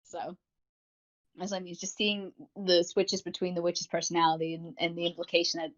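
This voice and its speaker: A woman's voice: on the low side, monotone, moderate pace. A woman co-hosting a podcast in a casual review chat, rambling along with enthusiasm. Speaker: podcast co-host. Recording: dull lo-fi audio.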